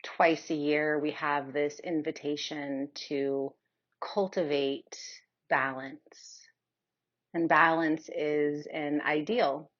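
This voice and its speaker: A woman leading a guided yoga nidra meditation in a soothing, unhurried manner with long pauses. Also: low-pitched, level tone, lo-fi sound.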